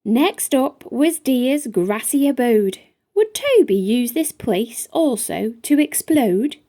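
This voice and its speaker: A woman with a high, soft-edged mellow voice reads a rhyming picture book for children. Her storytime narration is slow and measured. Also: lively intonation. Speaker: storytime reader. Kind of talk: children's picture book read-aloud in rhyme.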